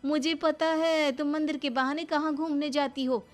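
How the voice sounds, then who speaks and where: A woman's voice: high-pitched, steady tone, normal speed, soft background music, pitched above the performer's own voice, close to an everyday voice. A woman playing a resentful young daughter-in-law in a family story, complaining and justifying herself. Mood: accusing. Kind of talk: dramatised Hindi family story.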